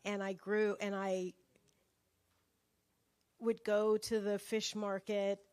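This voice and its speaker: Slow, reflective woman telling her story. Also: medium pitch, level tone, darkish tone, clear, resonant tone. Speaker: book club participant. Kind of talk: personal story sharing.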